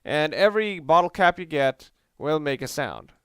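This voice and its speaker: A man's voice: on the high side, lively intonation, moderate pace, fairly bright tone, clear, resonant tone, dry recording, studio quality. A man giving casual, hesitant video game commentary with wry, self-deprecating asides. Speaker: video game let's player. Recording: game music and gunfire behind. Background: game music, gunfire.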